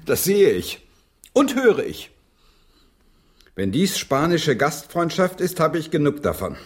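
An actor in a German radio play voicing a proud, indignant English playwright, declamatory and sardonic. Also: male voice, a little high, huge pitch swings, slow pace, pauses often, slightly rough, full character voice. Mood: scornful.

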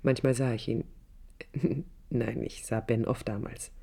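An actor playing a reflective, ironic storyteller in a literary radio drama, measured and emphatic. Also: male voice, medium pitch, steady tone, creaky, warm tone, slightly rough. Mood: reminiscent. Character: reflective, ironic first-person storyteller looking back.

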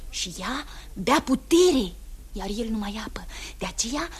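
An actress playing a gentle young maiden who speaks tenderly and often in a hushed, urgent voice, in a 1960s radio drama. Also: female voice, fairly high pitch, lively intonation, slightly rough, exaggerated voice.

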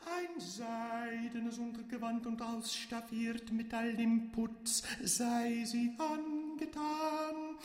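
An actress playing a pompous mistress of ceremonies in a radio play, proclaiming grandly in a half-sung, declamatory way. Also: female voice, on the high side, slow, few pauses, darkish tone, echoey room, faint music behind. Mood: grandiose, solemn. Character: pompous female master of ceremonies heralding a lavish feast. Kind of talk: theatrical, declamatory German radio play acting.

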